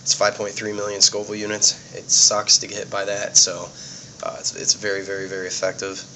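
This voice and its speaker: A man's voice: on the low side, completely flat delivery, fairly quick, pushed and bright, a little hiss or hum, poor audio. A man giving a casual, plainspoken walkthrough of his police gear, explaining things simply and sounding sniffly from a cold. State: sniffly, getting over a cold.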